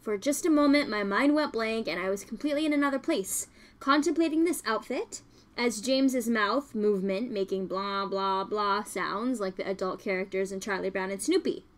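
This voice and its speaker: A woman's voice: mid-range voice, normal speed. A woman co-hosting a podcast, presenting casual review segments in a tongue-in-cheek way. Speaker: podcast co-host.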